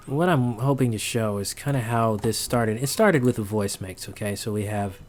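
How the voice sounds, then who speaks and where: Brief: male tutorial host, lowish voice, casual halting walkthrough, thinking aloud, slight background noise. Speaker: sound designer giving tutorial. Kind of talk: casual, unscripted software walkthrough.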